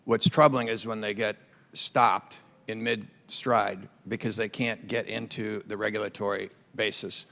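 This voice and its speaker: A man testifies formally in a somewhat low voice with a gravelly creak. His phrasing is measured and articulate. The large hearing room adds a long reverb. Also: fairly even intonation, fairly bright tone. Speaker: aviation industry witness.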